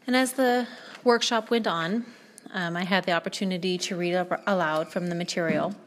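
A woman hosting her own podcast, talking in a soothing, reflective way and pausing often to gather her thoughts. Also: lowish voice. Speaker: podcast host. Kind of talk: reflective spoken monologue.

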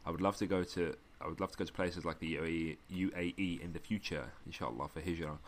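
A man with a very low, deep voice talks casually on a podcast, joking and laughing often. He reads listener messages aloud in a relaxed, slangy way. A faint hiss of background noise sits under him. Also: fast. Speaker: podcast co-host.

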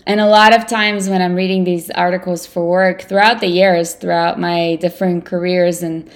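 A woman's voice: fairly low pitch, flat delivery, normal speed. A woman from Ukraine, a native Russian speaker, talking thoughtfully and a bit hesitantly in a conversational interview. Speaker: interviewer, security professional.

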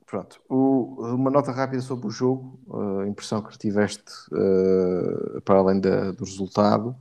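A man with a European Portuguese accent hosting a football chat, measured and pausing with fillers. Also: on the low side, level tone, gravelly, mellow. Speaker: host.

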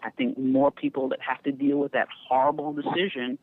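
A middle-aged woman, a podcast guest, telling candid stories in a frank, lively way with easy laughter and a neutral accent. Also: extremely low pitch, brisk, dry recording. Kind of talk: candid storytelling. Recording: tinny phone-line sound, dry.

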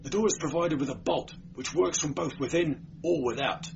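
A man's voice: on the high side, normal speed, creaky, pitched above the performer's own voice. A man voicing an anxious, dutiful night watchman who explains earnestly in an audiobook story. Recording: dull, noisy amateur recording.

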